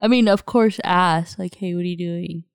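A woman's voice: fairly low pitch, normal speed, gravelly, clean tone, no echo, studio quality. A woman chatting casually as a podcast co-host, curious and asking lots of questions. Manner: curious, asks many questions. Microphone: headphones and mic.

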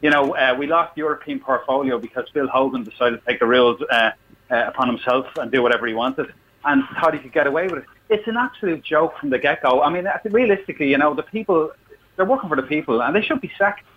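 An Irish man calls in to a radio show, blunt and heated, and he swears as he rants. He talks fast. The line is muffled, with a faint hiss behind him. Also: fairly high pitch, expressive. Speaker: caller. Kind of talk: political rant on a phone-in. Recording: dull phone line with faint noise.

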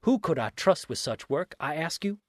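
A man's voice: mid-range voice, lively intonation, moderate pace, a little mellow, no room sound, studio quality, natural voice. A man voicing a defiant, sarcastic murder suspect in a storybook, with mocking, theatrical exclamations. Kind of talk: dramatic storybook reading.

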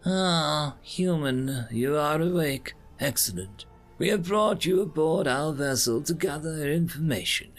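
A man's voice: on the high side, animated, a little slow, faint music behind, pitched above the performer's own voice. Man as a haughty, imperious alien invader. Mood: smug, imperious. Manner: formal, imperious, condescending. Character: haughty telepathic alien invader, slender and jade green.

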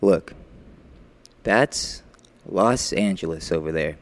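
A man playing a brooding, menacing murderer in a radio thriller, taunting and deliberate, with bitterness and sharp commands. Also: low-pitched. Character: menacing, brooding male murderer toying with his captive. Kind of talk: classic radio thriller acting.

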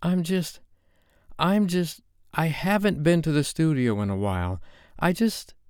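A man's voice: on the high side, lively intonation, a little slow, darkish tone, clear, resonant tone, dry recording, studio quality, pitched above the performer's own voice. A man voicing a tense, defensive young woman who fires off clipped, suspicious questions. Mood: flustered.

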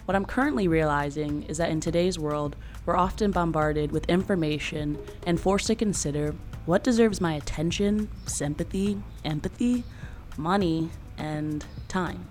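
A woman's voice: low voice, normal speed, roomy sound, soft background music. A woman hosting an interview podcast, mixing a scripted intro with casual chat full of "um" and "like". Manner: warm laughing interjections, lots of "um" and "like".

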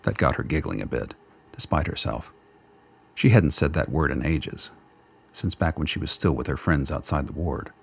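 A man reading the narration of a radio play in a measured, wry storytelling manner. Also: rumbling low, expressive, creaky, breathy edge. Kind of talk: scripted narration.

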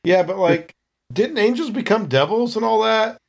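Guy doing a chill slangy space marine, slightly high voice, teasing and griping, tabletop rpg. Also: moderate pace, gravelly. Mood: laid-back, teasing. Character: Wild Bill, laid-back, grumbling slangy male space Marine. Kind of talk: improvised in-character tabletop roleplay.

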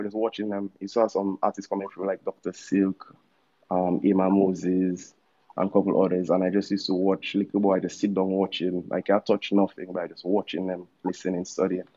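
A man's voice: low-pitched, little pitch movement, fast, warm tone, dull audio. A man in his thirties from Dominica with a Dominican accent, a musician telling his story in a casual, laid-back interview with slangy asides. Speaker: musician guest.

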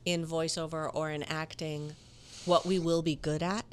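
A woman voice actor with a low, smooth voice that carries some authority, telling stories from her career in an interview and acting out the dialogue. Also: normal speed. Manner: animated, tells stories with quoted dialogue. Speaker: voice actor guest. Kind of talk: conversational interview storytelling.

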